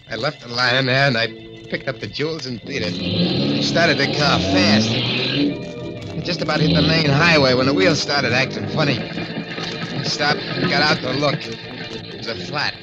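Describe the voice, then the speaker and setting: A man's voice: mid-range voice, a little slow, long pauses, dull audio, natural voice. An actor playing a jittery male crook in an old radio drama, narrating his story in tough, slangy talk. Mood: rattled. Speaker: narrator and lead character. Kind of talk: 1940s radio acting. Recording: old radio recording with music.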